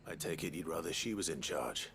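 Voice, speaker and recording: An actor playing a grave, courteous adventurer in a fantasy game, measured and understated in manner. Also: male voice, extremely low pitch, expressive, a bit bright, a little hiss or hum, heavily acted. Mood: calm inquiry. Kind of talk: scripted fantasy game acting.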